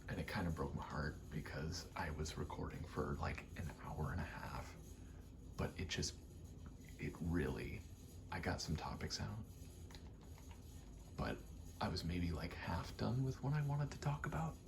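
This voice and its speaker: Very deep, whispery man rambling softly. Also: lively intonation, measured pace, pauses often. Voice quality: breathy, often dropping into a whisper. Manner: rambling, casual, sweary, self-deprecating. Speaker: video creator rambling to camera. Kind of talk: soft-spoken ASMR ramble. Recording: clear background noise, rattling vent. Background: rattling vent noise.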